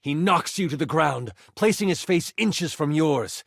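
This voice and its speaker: An excitable male game master tells a fantasy tabletop tale for a video game. His fairly high voice swings widely in pitch as he builds suspense with theatrical flair. The sound is dry and close. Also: a little slow, gravelly, dry recording, heavily acted. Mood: tense. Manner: theatrical, expressive, savoring suspense. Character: personified twenty-sided die, an excitable male game master. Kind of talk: dramatic tabletop fantasy narration.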